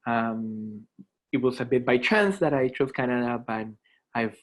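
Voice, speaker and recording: A man in his thirties from Colombia, a native Spanish speaker with a Colombian accent, introducing himself to students as a lecturer in a reflective, halting way. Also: mid-range voice. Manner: reflective, halting, with repeated words. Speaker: adjunct philosophy lecturer. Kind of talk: informal self-introduction to students.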